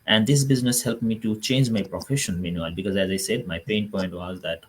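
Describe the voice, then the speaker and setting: A man hosts a live online talk in a very low voice with a Bangladeshi accent. He explains things to his viewers, with a faint noise behind him. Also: fairly quick. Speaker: host of an online business show.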